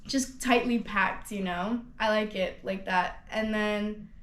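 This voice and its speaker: A young woman of nineteen, raised in Hong Kong, speaks in a relaxed testimonial. Her voice sits at a middle pitch and she talks loosely, full of fillers and restarts. The room adds a noticeable echo. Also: slow pace. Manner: chatty, lots of "like" and restarts. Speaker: student ambassador. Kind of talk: casual testimonial interview. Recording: roomy echo.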